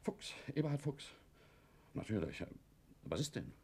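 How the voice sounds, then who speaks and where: An actor playing a nervous, blustering, middle-aged factory owner and former lieutenant with a guilty past in a German radio play. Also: male voice, mid-range voice, sing-song, unhurried, mellow, husky, full character voice. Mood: defensive, brooding.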